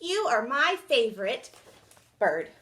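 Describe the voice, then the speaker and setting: A woman's voice: fairly high pitch, big pitch swings, slow, bright, forceful tone, heavily acted. A woman voicing a cheerful, affectionate little girl in a children's storybook, sweet and playful with her pets.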